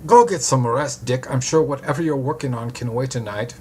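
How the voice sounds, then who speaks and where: A man's voice: mid-range voice, fairly quick, darkish tone, slight background noise, lightly acted. Man putting on an older German-accented doctor, precise. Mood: cautioning. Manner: precise, formal explaining.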